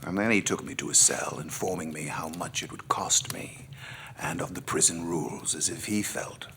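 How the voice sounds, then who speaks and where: An actor playing a worldly, wry gentleman narrating his memoirs theatrically, with a gravelly voice that drops toward a whisper. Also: low voice, moderate pace, full character voice. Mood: dramatic, weary. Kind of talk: dramatic first-person memoir narration.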